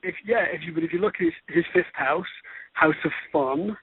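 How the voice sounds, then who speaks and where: A man with a husky, creaky voice co-hosting a conversational discussion, halting and repeating phrases for emphasis. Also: fairly high pitch, dry recording. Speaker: show co-host. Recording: narrow phone-like radio sound.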